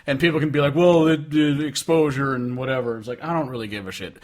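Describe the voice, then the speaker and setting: A man's voice: mid-range voice, fast, a little mellow. Blunt, sweary male podcast co-host. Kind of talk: casual opinionated music chat.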